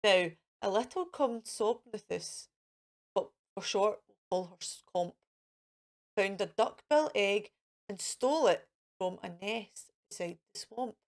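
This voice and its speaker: A woman's voice: medium pitch, slow pace. A woman hosting a children's storytime, reading a picture book in a sing-song way for young listeners. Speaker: children's storytime host and reader.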